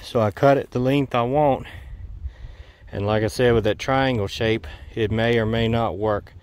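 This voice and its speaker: A man with a Southern US accent narrates a homestead how-to outdoors. His voice is smooth and clear, at a medium pitch. He talks in a folksy, unscripted way, plain-spoken and a bit rambling. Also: fairly even intonation, moderate pace, a little mellow. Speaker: homestead DIY video maker.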